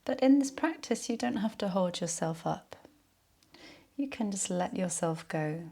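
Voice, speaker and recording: A woman leading a guided yoga nidra relaxation in a soothing, unhurried, soft-spoken way. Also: medium pitch, animated, breathy edge.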